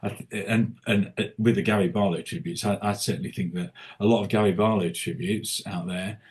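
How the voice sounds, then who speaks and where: A man with a lower speaking voice talks loosely and at length as a guest on a chat podcast. He keeps stopping and restarting his sentences and leans on fillers, but he always gets to his point in an easygoing way. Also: fairly even intonation, a little mellow. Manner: rambling, halting, many restarts and fillers. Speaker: tribute singer and podcast guest. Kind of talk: casual interview chat.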